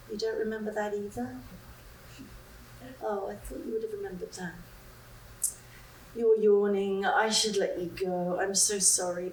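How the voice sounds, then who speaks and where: A woman's voice: on the high side, fairly bright tone, voice pushed up. A woman doing a chirpy, nervous caller. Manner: breathless, apologetic chatter. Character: chirpy, nervous young woman on a phone call. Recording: roomy sound, mic far off.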